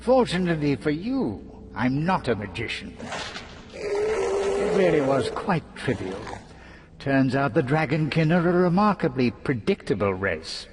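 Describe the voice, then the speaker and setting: An actor playing a gleeful, taunting trickster villain in a video game, with mocking, theatrical relish. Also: male voice, fairly high pitch, big pitch swings, unhurried, background noise. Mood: smug amusement. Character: gleeful, taunting male trickster schemer holding court.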